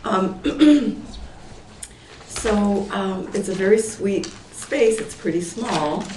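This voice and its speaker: A hoarse woman in her sixties who is losing her voice. She speaks at a public hearing and rambles with fillers and restarts. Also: mid-range voice, expressive, very slow, a little mellow. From Portland, Oregon, US. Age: 60s. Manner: rambling, many fillers and restarts, laughs lightly. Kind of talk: informal testimony at a town meeting. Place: town meeting room. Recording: echoey hall, far from the mic, faint noise.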